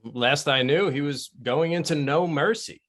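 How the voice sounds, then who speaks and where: A man's voice: fairly high pitch, a little slow, a bit bright. A man playing an uneasy underling who answers to his boss, in a screenplay table read.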